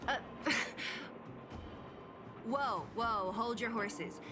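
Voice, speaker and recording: A woman's voice: fairly high pitch, big pitch swings, unhurried. An actress voicing a tough, aloof young woman fighter in a video game, speaking in a dry, sardonic, exasperated way. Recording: loud music under the voice.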